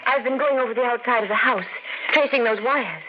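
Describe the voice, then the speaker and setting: A woman's voice: on the high side, a little fast, natural voice. An actress playing an anxious, suspicious young woman in a 1940s radio drama, worried and insistent. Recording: dull lo-fi 1940s radio broadcast with hiss.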